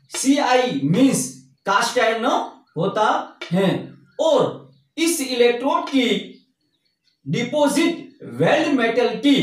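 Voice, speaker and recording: Middle-aged guy teaching welding at a whiteboard, slow and methodical, lapel mic, sounds far off in a boomy room. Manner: slow, methodical explaining with repetition. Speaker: welding instructor. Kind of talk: whiteboard lesson. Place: indoor room in front of a whiteboard. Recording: very echoey room, distant mic.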